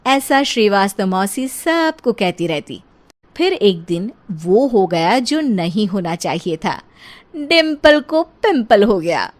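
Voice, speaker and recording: A woman's voice: medium pitch, very animated, takes it slowly. A woman narrator telling a scripted comic family tale. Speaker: story narrator.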